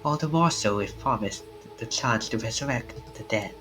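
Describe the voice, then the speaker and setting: A man's voice: medium pitch, moderate pace, close to an everyday voice. Man voicing a stiffly formal, composed negotiator, music behind. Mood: measured, grave. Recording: music under the voice.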